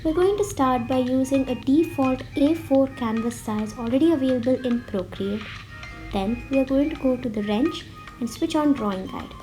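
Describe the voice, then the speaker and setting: A woman with a slightly sick-sounding voice, explaining a drawing tutorial step by step in a friendly, relaxed way. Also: high-pitched, normal speed. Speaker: art tutorial host. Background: faint background music.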